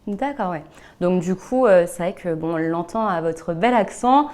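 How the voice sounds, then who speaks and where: A woman's voice: on the low side, moderate pace, a little mellow. A woman hosting an interview in an informal, conversational way, rewording and padding her questions with small tag words. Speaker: interview host.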